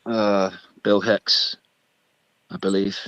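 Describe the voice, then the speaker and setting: Playful low-voiced man rambling. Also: flat delivery, slow pace, a bit bright, clean tone, no echo, muffled recording. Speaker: voice chat participant. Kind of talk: casual group voice chat.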